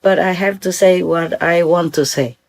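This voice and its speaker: A woman's voice: fairly low pitch, steady tone, normal speed, vocal fry. An older woman from Vietnam with a Vietnamese accent, giving an earnest, informal talk as a spiritual teacher and often checking that her listeners follow.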